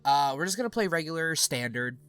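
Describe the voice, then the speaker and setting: A man's voice: a little high, animated, brisk, fairly bright tone, slight background noise. Sniffly young man rambling casually. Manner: rambling, chatty. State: sniffly from allergies. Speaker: let's play host. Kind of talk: casual gameplay commentary.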